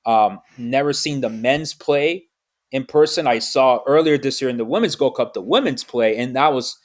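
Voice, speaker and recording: A man from Miami, speaking as a podcast guest in a mid-range voice. He talks earnestly and reflectively but haltingly, stumbling over restarts and repeating words as he gathers his thoughts. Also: medium pitch. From United States, raised in Miami. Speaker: podcast guest, football fan. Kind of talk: casual interview.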